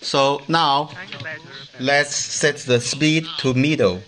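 A man giving an informal demonstration of a hand-made device in halting, non-native English. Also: a little high, steady tone, a bit bright.

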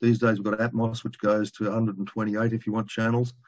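Low-voiced older man on a dull call. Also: little pitch movement. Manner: conversational, colloquial, halting. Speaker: presenter. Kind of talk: informal technical presentation over slides. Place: home room, indoors. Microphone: video call, computer microphone. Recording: dull lo-fi video call audio.

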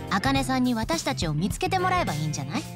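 A fairly high voice over clearly audible music. It is a confident, scheming young woman in a video game, teasing and playfully sure of herself. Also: very animated, racing. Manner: teasing, self-assured. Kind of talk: Japanese video game dub acting.

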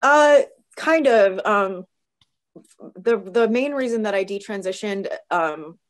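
A woman in her thirties with a permanently hoarse, raspy voice, talking as a guest on a video call, thoughtful and full of fillers and restarts. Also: medium pitch, expressive, slow pace. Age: 30s. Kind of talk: casual video-call interview. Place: home room. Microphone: video call webcam.

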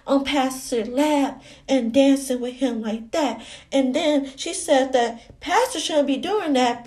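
A woman's voice: sits high, a little mellow. A woman voicing an innocent, chatty little girl who tattles in rambling, naive childlike talk for a comedy skit. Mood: naive, tattling. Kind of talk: comedy skit phone calls.

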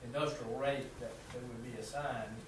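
A Southern American man walking a council through a proposal, stopping often on fillers and restarts. His voice sits at an ordinary pitch and rings around a small room, picked up from far away with a steady hiss behind it. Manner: halting, many fillers and restarts. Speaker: city utility official presenting to council. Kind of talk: presenting a proposal at a council meeting. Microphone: far room camera mic. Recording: echoey room from far off, background noise.

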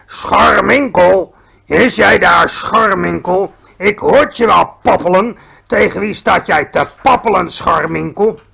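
A man's voice: takes it slowly, gravelly, sharp tone, husky, full character voice. A man voicing a huge, brutish, man-eating giant with a thunderous, bellowing voice in a storybook reading. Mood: roaring, suspicious. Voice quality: booming, thunderous. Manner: bellowing, mangled made-up insults.

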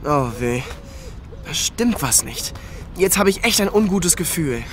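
Lively, worried teenage boy musician. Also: on the high side, measured pace. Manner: casual, youthful slang. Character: young male teenage musician, worried, suspicious, determined. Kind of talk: children's audio drama acting.

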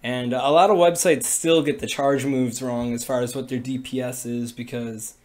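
A young man with a cold-raspy, hoarse voice, talking casually and rambling with many fillers on a livestream from a small room into a webcam. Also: mid-range voice, fairly even intonation, moderate pace. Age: young adult. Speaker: streamer. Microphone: webcam at desk.

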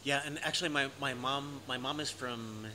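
A man's voice: mid-range voice, steady tone, moderate pace, pushed and bright. A man in his forties with an American accent, chatting as an interviewer in a cafe and often restarting his sentences. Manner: repetitive restarts, chatty and amused. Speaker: interviewer, host. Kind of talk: casual interview conversation. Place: cafe by large windows, indoors. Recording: noisy cafe ambience.

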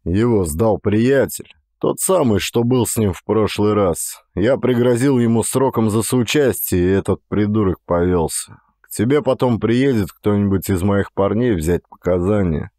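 A man's voice: fairly low pitch, normal speed, warm tone, dry recording, studio quality, lightly acted. A man playing a blunt but loyal police detective in an audiobook thriller, speaking in a terse, matter-of-fact way.

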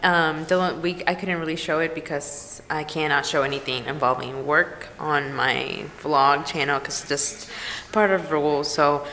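A woman's voice: low voice, fairly bright tone, a little hiss or hum. A young woman and mother vlogging to viewers in a chatty, upbeat way, holding the camera at arm's length. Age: young adult. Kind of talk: casual family vlog. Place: store, park and gym. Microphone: handheld camera at arm's length.

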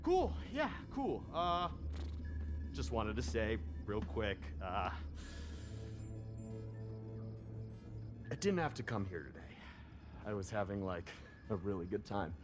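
Brash, animated young crime heir. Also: male voice, lowish voice, measured pace, over music. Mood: awkward, self-important. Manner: foul-mouthed bro talk, hesitant bragging. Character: brash, spoiled young male cartel heir, excitable.

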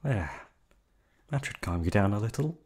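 A man playing a soft-spoken yandere kidnapper. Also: on the low side, lively intonation, normal speed, slightly rough. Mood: menacing. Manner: soft, coolly reasoning, menacing. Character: calm, unhinged male yandere hotel receptionist kidnapper. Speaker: voice actor. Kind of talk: ASMR roleplay audio drama.

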